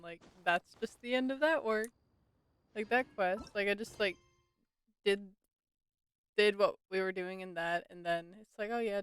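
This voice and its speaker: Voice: medium pitch, fairly bright tone, clean tone. A game streamer giving casual live commentary, informal and exclamatory, reacting aloud to the game.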